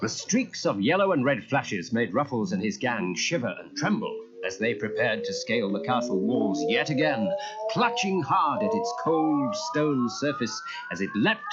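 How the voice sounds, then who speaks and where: A man giving an animated narration of a children's story as a storyteller. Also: medium pitch, moderate pace, heavy background noise. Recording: muffled old audio cassette.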